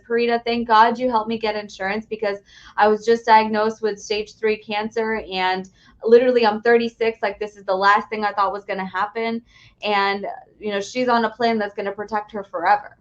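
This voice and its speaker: A woman's voice: on the high side, muffled recording. A woman working as an insurance agent, guest on a podcast, explaining things plainly and reassuringly in casual conversation. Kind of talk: casual interview chat.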